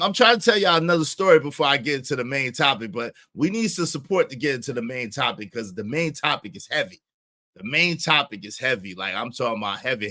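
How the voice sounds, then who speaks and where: A man's voice: mid-range voice, pushed and bright. An American man hosting a casual livestream, talking emphatically to viewers, repeating phrases and checking in with short tag questions. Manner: emphatic, repeats phrases, tags statements with "right?" and "okay?". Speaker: livestream host.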